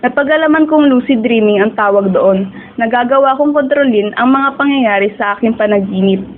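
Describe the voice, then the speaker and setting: A young woman acting as a dreamy, self-doubting high school girl who narrates her own story in a radio drama, mixing in English. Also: normal speed, close to an everyday voice. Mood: reflective. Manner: code-switches into English. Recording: tinny, phone-like audio with hiss.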